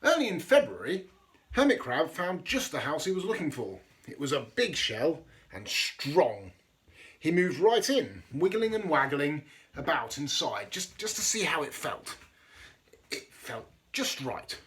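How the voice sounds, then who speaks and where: A man reading a children's storybook aloud expressively, with dramatic pauses and chatty asides for young listeners. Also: a little high, very animated, a bit bright. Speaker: storybook reader.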